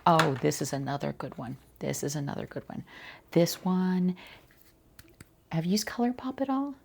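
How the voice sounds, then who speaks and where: A woman quietly playing a skincare consultant in an ASMR roleplay, chatting casually and reassuringly in a soft, breathy voice that often slips toward a whisper. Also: deep voice, sing-song, normal speed, noisy background. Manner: casual, rambling, reassuring the client.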